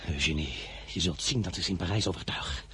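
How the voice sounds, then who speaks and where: An actor playing a proud, ambitious young general in a radio play, commanding and quick to flare up. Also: male voice, deep voice, a bit bright, slight background noise. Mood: resolute.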